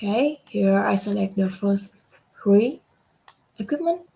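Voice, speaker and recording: A young adult woman walking through a software demo step by step, unhurried, with frequent fillers and self-corrections. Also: medium pitch, lively intonation, creaky. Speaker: software product presenter. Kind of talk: screen-share software demo walkthrough.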